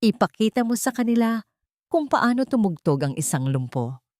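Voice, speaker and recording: Spiteful rich wife for audio story, acted by a woman, dramatic and mocking, very animated pitch, dry sound. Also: moderate pace, no echo. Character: scheming, pleading, spiteful wealthy wife.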